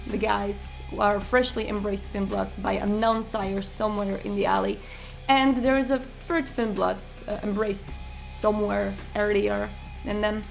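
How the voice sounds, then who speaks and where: A woman's voice: on the high side, vocal fry. Woman introducing a campaign over music. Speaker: campaign organizer and player introducing the session.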